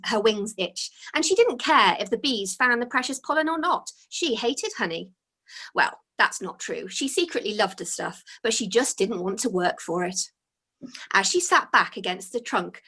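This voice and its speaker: A woman reading her own children's story aloud as its author, in an expressive storytelling style. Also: medium pitch.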